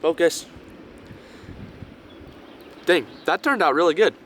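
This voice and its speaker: A young man talks to his camera outdoors, his voice scratchy from a cold and pitched a little high. He chats in a loose, excitable way, throwing in "dude" and "like". A faint hiss of outdoor noise sits under him. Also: slow pace. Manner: chatty, excitable, says dude and like. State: raspy from a cold. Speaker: vlogger. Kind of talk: casual vlog chat to camera. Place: outdoors by a lake, camping in a small car.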